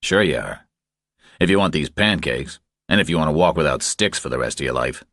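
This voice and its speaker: A man acts out a tough, commanding drifter with an extremely deep voice. He speaks in terse, controlled, taunting lines, as in a thriller audiobook, with a dry sound. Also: lively intonation, fairly quick, a bit bright, no echo, full character voice. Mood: firm, threatening. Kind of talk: audiobook character dialogue.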